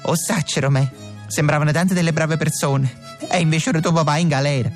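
An actor playing a mob boss's wife with a Neapolitan accent, mournful and theatrical, in a comic radio drama. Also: male voice, on the high side, steady tone, a little fast, faint music behind, natural voice. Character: mob mother, dramatic and resigned.

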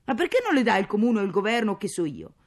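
A woman's voice: low voice, fairly even intonation, moderate pace, dark tone. A woman voicing a poor, harried mother who nags and scolds sarcastically but can turn tender, in a dramatic story reading. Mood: scolding. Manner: nagging, sarcastic, exclamatory.

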